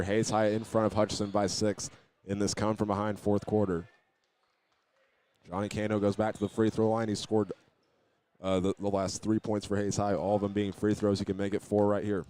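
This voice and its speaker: A man doing live play-by-play commentary of a high school basketball game as the announcer. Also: level tone, brisk, darkish tone. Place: indoor high school gymnasium. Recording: noisy gym ambience behind.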